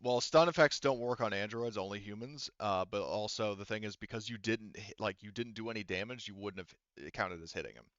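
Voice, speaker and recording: A man with a low, smooth voice works as game master, talking players through rules and scenes in a casual, halting way. The audio is dry and a little muffled. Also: fairly bright tone, no echo. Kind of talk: casual tabletop game narration.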